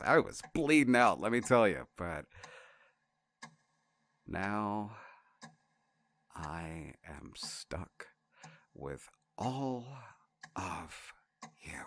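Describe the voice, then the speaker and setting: A man playing a sardonic, foul-mouthed, fiercely protective wanderer from another reality in an audio drama, halting and muttering. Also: lowish voice, fairly bright tone, no room sound, full character voice.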